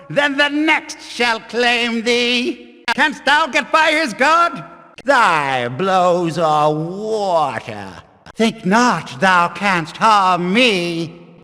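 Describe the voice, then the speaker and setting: High, forceful warrior zealot. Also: male voice, full character voice. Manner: forceful, menacing, archaic diction. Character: fierce, taunting male warrior zealot in combat. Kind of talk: archaic scripted video game combat barks. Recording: long hall-like reverb.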